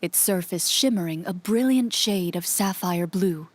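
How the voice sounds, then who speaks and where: A woman playing a composed storyteller in a fantasy game, narrating in a measured, descriptive manner. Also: fairly low pitch. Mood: atmospheric, calm. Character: composed female storyteller guiding fantasy quest. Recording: long reverb with music behind.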